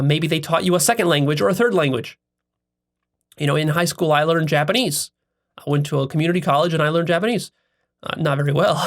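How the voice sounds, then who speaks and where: Reflective man with a slightly higher voice. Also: gravelly. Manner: earnest and reflective, with many fillers and restarts. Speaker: self-help channel host. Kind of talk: solo philosophical monologue.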